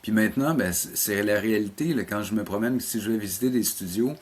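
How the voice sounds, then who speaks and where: Middle-aged man, Quebec French accent, lowish voice, casual and hesitant, circling back on words in conversation. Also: brisk, husky. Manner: hesitant, repeats words as he searches for phrasing. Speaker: animation teacher and programme coordinator, interview guest.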